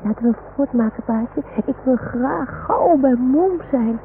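A woman's voice: sits high, measured pace. A woman playing a small, devoted toy dog in an old radio drama, childlike and eager to please. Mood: trusting, wistful. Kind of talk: 1960s Dutch radio drama acting. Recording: noisy, muffled old radio recording.

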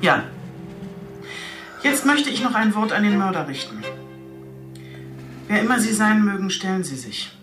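A woman's voice: mid-range voice, very animated, unhurried, pauses often, over music. A woman playing a police chief inspector in a radio drama, articulate, earnest and matter-of-fact. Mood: formal, composed. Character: determined, composed female police chief inspector. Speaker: police detective. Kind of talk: scripted radio drama dialogue.

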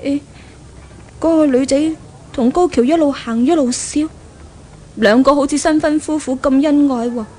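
A woman's voice: high-pitched, moderate pace, pauses often, darkish tone, close to an everyday voice. A woman with a Hong Kong Cantonese accent playing an impulsive, jealous young woman spurned in love, thinking aloud in exclamations in a 1970s radio drama. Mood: jealous, bitter. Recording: noisy old radio drama recording.

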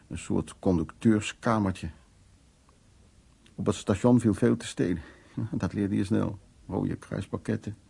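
An actor playing an older, frank and dominant man who reminisces intimately in short phrases, in a radio play with a husky, gravelly voice. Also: fairly low pitch, dark tone, full character voice.

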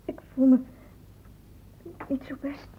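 A woman's voice: takes it slowly, a little hiss or hum, lightly acted. An actress playing a frightened young female student in a radio drama, hesitant and pleading. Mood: anxious, pleading. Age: young adult. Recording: muffled phone-like old radio recording.

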